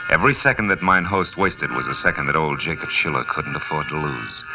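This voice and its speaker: An old, dull-sounding radio recording with music carries a hard-boiled detective. His voice is extremely low. He narrates and trades lines in a terse, sardonic noir style, as a tough private eye with a wisecrack ready. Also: lively intonation, brisk. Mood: tense storytelling. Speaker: narrator and lead character.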